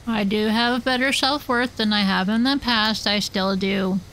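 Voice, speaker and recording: A woman's voice: mid-range voice, steady tone, unhurried, a bit bright, slight background noise, low-quality recording. A woman streamer chatting casually with viewers while she plays, rambling and self-deprecating. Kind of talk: casual chat while gaming.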